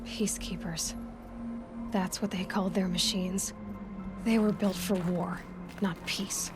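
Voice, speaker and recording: An actress playing a curious, determined young woman hunter in a game cutscene, musing quietly and half-whispering. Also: mid-range voice, steady tone, unhurried, music in the background, natural voice.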